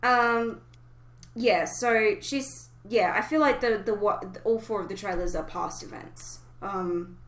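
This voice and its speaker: A woman hosting a podcast, casually discussing an animated series in an informal, blunt way. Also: mid-range voice, slow, reverberant. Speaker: podcast host.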